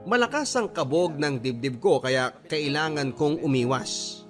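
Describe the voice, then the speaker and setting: A man's voice: a little high, expressive, unhurried, fairly bright tone, soft background music. A man with a Filipino accent narrating a first-person love-story letter in a dramatic radio storytelling style.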